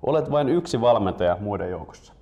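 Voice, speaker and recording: A man's voice: medium pitch, animated, highs cut off. A man in his twenties hosting, moving between presenter-style announcements and casual chat. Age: 20s. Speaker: host.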